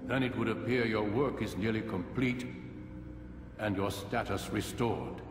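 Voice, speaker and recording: A man's voice: fairly low pitch, a little slow, recorded from a distance, background noise, close to an everyday voice. Man voicing a grave old master, echoing hall. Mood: grave, probing. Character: aged male assassin master, grave, commanding, paternal mentor. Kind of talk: video game cutscene dialogue.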